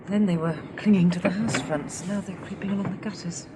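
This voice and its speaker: An actress playing a compassionate, observant young survivor in a radio drama, speaking earnestly and expressively. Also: female voice, medium pitch, fairly even intonation, brisk, background noise, lightly acted. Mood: curious.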